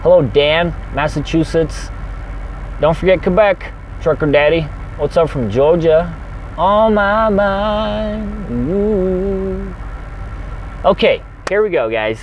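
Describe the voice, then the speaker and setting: A man's voice: sits high, dull audio, slight background noise. A man who drives a truck for a living, chatting energetically on a livestream and reading out viewer comments. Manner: energetic, chatty, fast-talking.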